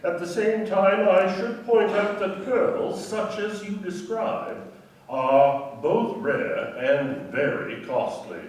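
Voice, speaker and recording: A cautious, formal gem dealer in a radio play. His voice is slightly high and his delivery slow and courteous. He sounds off mic in a very echoey room with faint noise. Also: distant mic. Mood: measured, cautious.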